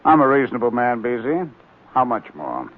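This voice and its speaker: A narrow, tinny radio recording carries an actor as a smooth, crooked auctioneer. He is measured and calculating, in 1940s crime drama style. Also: medium pitch, sing-song, natural voice. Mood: cool, guarded. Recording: muffled narrow-band old radio recording.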